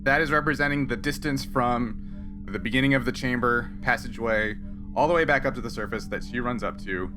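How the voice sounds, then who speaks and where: Man game-mastering over background music. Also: mid-range voice. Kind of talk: narrating and refereeing a tabletop roleplaying game.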